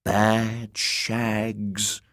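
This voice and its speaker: A man's voice: fairly low pitch, monotone, very slow, fairly bright tone, voice pushed up, full character voice. A man doing a drawling menacing outlaw. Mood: sneering. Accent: Dornish drawl. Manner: sneering, drawling.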